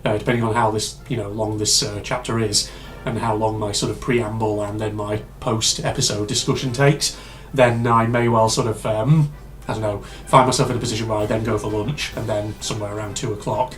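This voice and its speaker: A man hosting a casual reaction video, rambling and chatty with lots of fillers, his voice slightly hoarse and congested from a cold. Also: lowish voice, steady tone, fairly quick, hardly any pauses, faint music behind.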